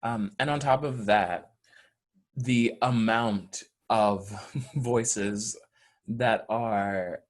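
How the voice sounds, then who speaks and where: A man talking earnestly in an interview, with measured, deliberate phrasing. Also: lowish voice, fairly even intonation. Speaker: audio play cast or crew member.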